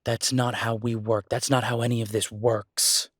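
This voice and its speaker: A protective werewolf detective played by a man in an intimate audio drama, with a mid-pitched voice in a very dry space. He is devoted and stubborn, speaking earnestly and insistently to his lover, with weighty pauses. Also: level tone, no room sound, close to an everyday voice. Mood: fiercely determined. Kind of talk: dramatic roleplay audio acting, intimate one-sided dialogue.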